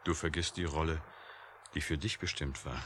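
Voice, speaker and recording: Deep-voiced weary visionary scientist. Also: male voice, fairly even intonation, normal speed. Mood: grave. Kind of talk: 1980 German radio drama acting. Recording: clear noise behind the voice.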